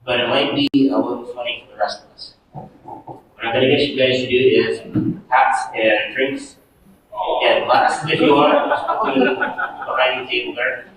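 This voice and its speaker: A man's voice: mid-range voice, lively intonation, a little slow, crowd noise. A man with a Canadian accent working as a stage hypnotist, playfully coaxing audience volunteers at a live show. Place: large banquet hall, live show. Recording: echoey hall, distant mic, music.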